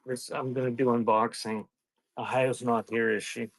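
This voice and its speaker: A man hosting a casual live sales stream, rambling and thinking out loud in a scattered, conversational way. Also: medium pitch, level tone, moderate pace, dry recording. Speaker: live-stream seller hosting a sale and unboxing.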